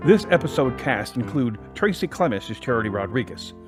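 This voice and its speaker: A man narrates an audio drama from a script. His voice is middling in pitch, and music plays clearly behind him. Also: very animated, a little fast.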